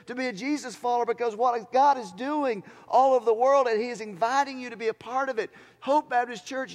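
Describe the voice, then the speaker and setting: Man preaching earnestly in a reverberant hall. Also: fairly high pitch. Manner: earnest, emphatic, repeats phrases for effect; swings between hushed and loud, rousing delivery. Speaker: pastor. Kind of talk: sermon to a church congregation. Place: large reverberant church hall.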